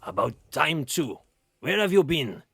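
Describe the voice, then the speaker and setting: A man playing a bossy, self-important head chef in a children's audio drama, with a husky, gravelly voice and brusque manner. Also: a little high, very animated, measured pace, fairly bright tone, a little hiss or hum, heavily acted. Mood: brusque, demanding. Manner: pompous, brusque.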